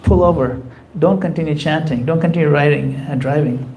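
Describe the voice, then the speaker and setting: A man's voice: fairly high pitch, a little fast, darkish tone, slight background noise, low-quality recording. A man giving a conversational temple class, acting out quoted voices as he explains. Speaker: spiritual teacher. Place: temple hall.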